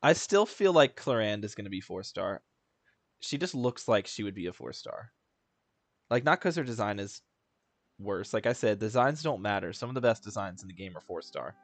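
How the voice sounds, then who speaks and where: A man's voice: lowish voice, big pitch swings, moderate pace, no room sound. A young man giving casual, chatty reaction commentary while playing a game, thinking aloud and laughing. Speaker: gaming streamer.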